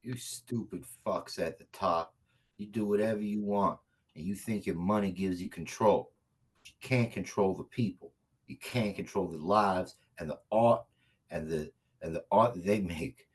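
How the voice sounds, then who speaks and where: Man acting vengeful male action hero, husky, lowish, cocky, defiant monologues, comedy table read. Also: normal speed. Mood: righteous anger. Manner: defiant, speechifying.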